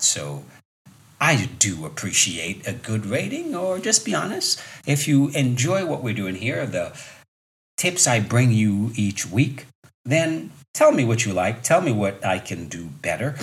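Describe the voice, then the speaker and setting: A man in his fifties hosting a solo motivational podcast as a fitness coach, talking conversationally and emphatically with rhetorical questions and repeated key points. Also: fairly low pitch. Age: 50s.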